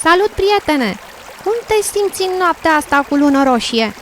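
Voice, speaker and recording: A woman's voice: sits high, lively intonation. A woman playing a friendly, talkative blue frog in an educational radio drama, chirpy and sympathetic in manner. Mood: cheerful, playful. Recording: noisy, with running water sounds behind.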